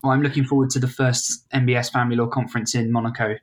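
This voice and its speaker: A woman's voice: a bass voice, little pitch movement, rapid-fire. A woman hosting a podcast interview, playful and laughing along, with many fillers. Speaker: podcast host.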